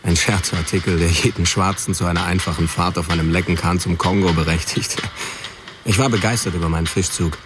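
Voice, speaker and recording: A man's voice: deep voice, steady tone, brisk, noisy background, lightly acted. A man playing a blunt, sardonic narrator recalling his misfit youth in a radio drama. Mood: dry, sardonic. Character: male narrator drily recalling his misfit, provocative teenage years. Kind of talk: scripted first-person radio drama narration.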